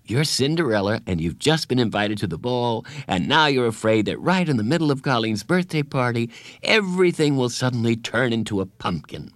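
Kind, patient female school counselor, voiced by a man; lifted, warm-hearted reassurance, dry studio sound. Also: mid-range voice, animated, brisk, no room sound, pitched above the performer's own voice, close to an everyday voice. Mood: reassuring. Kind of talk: audiobook character voice.

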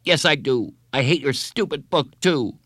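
A man's voice: on the high side, unhurried, fairly bright tone, no room sound, clean studio sound, pitched above the performer's own voice. A man voicing an upset, stubborn schoolboy in an audiobook, whining and defiant. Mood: furious. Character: upset, stubborn schoolboy feeling betrayed and angry.

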